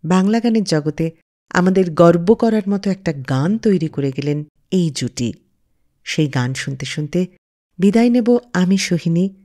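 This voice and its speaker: A woman's voice: on the low side, expressive, unhurried, warm tone. A woman hosting a music radio show, telling scripted stories in a dramatic storyteller's manner.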